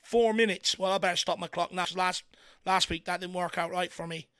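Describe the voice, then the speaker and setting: An Irish man hosting a fan watchalong, giving excitable partisan live commentary on a football match with a croaky, worn voice. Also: high-pitched, fairly quick. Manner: excitable partisan, shouts encouragement at players. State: voice going croaky from hours of talking.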